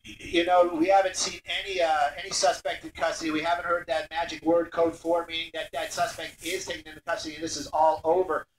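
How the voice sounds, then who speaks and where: High-pitched man reporting live over roomy link. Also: flat delivery, brisk. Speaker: helicopter news reporter. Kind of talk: live breaking-news commentary. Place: airborne news helicopter. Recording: roomy, distant airborne radio link, noisy.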